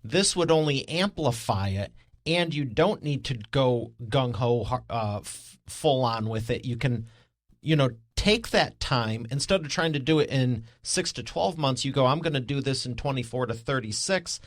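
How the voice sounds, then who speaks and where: A man of average pitch hosts an interview in a conversational style. He works through his counterpoints haltingly, restarting as he goes. The recording is close and very dry. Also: no echo. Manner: halting, thinks aloud while challenging the guest. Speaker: podcast host.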